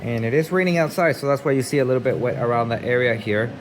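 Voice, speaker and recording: A man's voice: medium pitch, quick pace. A man giving a casual, explanatory walkthrough of installation work, speaking informally and directly to viewers as an installer. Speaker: installer narrating job site video. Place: work site, partly outdoors. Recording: noisy job-site ambience, vehicle noise.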